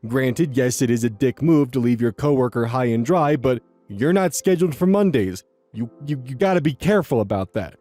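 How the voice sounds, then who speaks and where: A man's voice: mid-range voice, expressive, normal speed, dark tone, no room sound, faint music behind. A young man reading online posts aloud and commenting on them in a rambling, casual way, repeating words and swearing now and then.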